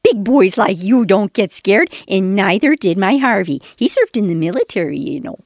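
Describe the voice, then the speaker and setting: A woman's voice: medium pitch, expressive, normal speed, a little mellow, dry recording, clean studio sound. A woman playing a chatty, randy old widow in a radio comedy sketch, gushing and rambling theatrically. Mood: coy, reminiscing.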